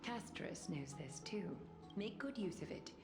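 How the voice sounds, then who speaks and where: Composed low-voiced noblewoman, echoey. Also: animated, measured pace, vocal fry. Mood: composed, gracious. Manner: formal, polished, courtly phrasing. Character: composed, gracious noblewoman leader, formal and measured. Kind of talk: scripted video game dialogue. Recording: echoey, with music behind. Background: music.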